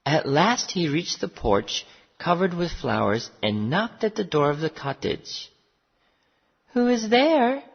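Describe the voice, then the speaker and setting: An expressive storyteller reads a fairy tale with deliberate phrasing. The pitch swings widely and the voice is husky and creaky, in a muffled recording with long reverb. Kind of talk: scripted fairy-tale narration for English learners.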